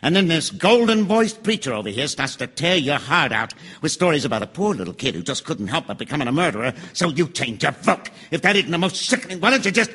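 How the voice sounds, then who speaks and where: An actor playing a hot-tempered, scornful juror in a radio drama, forceful and sneering. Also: male voice, on the high side, very animated, quick pace, hardly any pauses, breathy edge, exaggerated voice. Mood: angry scorn.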